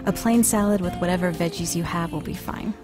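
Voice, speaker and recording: A woman's voice: lowish voice, a little fast, natural voice. A woman playing a polite, genteel television actress in an amateur audio play. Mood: polite, hesitant. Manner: polite, refined. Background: music.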